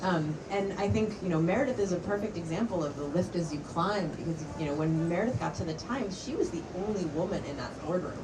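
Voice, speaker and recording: A woman leads a panel on a conference stage, talking energetically and often restarting her sentences. Her voice sits a little low and comes from some way off the mic. A steady hum of event noise runs behind her. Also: brisk, hardly any pauses. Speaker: panel moderator. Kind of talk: panel discussion. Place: conference stage before an audience. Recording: distant mic, noisy event.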